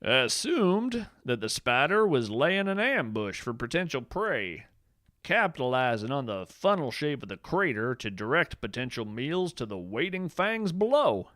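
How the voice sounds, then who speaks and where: A man acts out a folksy field naturalist with a very lively, swooping delivery, recorded dry and close. He tells whimsical tales of strange creatures with earnest enthusiasm, as in a podcast monologue. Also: medium pitch, normal speed, no echo. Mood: fascinated, wry. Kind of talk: first-person storytelling, fiction podcast monologue.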